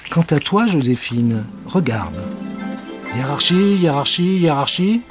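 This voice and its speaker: A man voicing a polite but clumsy female witch in a storybook reading, slow and overly courteous. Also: fairly high pitch, expressive, music in the background, voice pushed up. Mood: eager.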